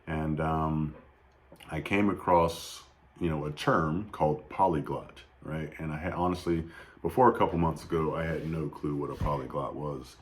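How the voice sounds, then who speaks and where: A man in his thirties speaking off the cuff in a somber, reflective video tribute, halting often with fillers and restarts. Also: very deep voice, fairly even intonation. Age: 30s. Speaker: YouTube vlogger. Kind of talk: unscripted personal tribute.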